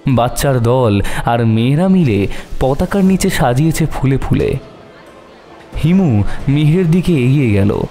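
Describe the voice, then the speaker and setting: A man's voice: medium pitch, lively intonation, measured pace, pauses often, mellow. A man narrating a scripted horror audio story in a dramatic storytelling style. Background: music.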